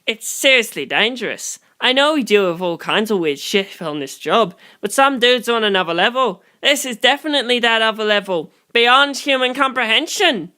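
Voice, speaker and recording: A reader voicing an excitable, blunt male investigator who warns a friend in emphatic, profane slang. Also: mid-range voice, moderate pace, hardly any pauses, smooth, pitched above the performer's own voice. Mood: alarmed, insistent.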